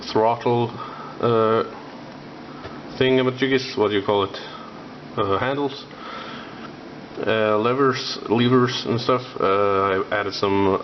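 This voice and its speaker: Slow, rambling Icelandic man. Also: fairly low pitch. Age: young adult. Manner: halting, many filler sounds. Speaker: scale model builder. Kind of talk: rambling hobby vlog. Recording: dull lo-fi with faint noise.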